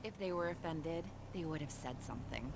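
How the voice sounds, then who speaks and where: An actress playing a blunt, sarcastic American criminal assistant in a video game, with a brusque deadpan delivery. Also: female voice, deep voice, fairly quick. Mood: cynical. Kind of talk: video game cutscene dialogue. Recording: clear vehicle noise behind.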